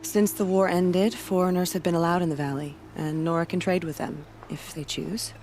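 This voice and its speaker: An actress playing a proud female warrior who guards a border village, speaking in a solemn, steady and devout way. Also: fairly low pitch, normal speed, soft background music, close to an everyday voice.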